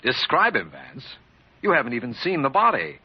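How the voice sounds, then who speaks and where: Brisk official prosecutor, husky, lively intonation, clipped, emphatic, old radio crime drama. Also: male voice, mid-range voice, heavily acted. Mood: urgent. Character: brisk, businesslike, no-nonsense male district attorney. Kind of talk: old-time radio detective drama acting. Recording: dull, lo-fi vintage radio recording.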